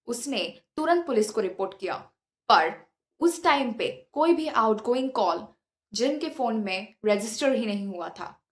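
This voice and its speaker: A woman's voice: a little high, darkish tone. A woman hosting and narrating scripted paranormal stories in a dramatic, suspenseful way, mixing in English words. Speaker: video host and narrator.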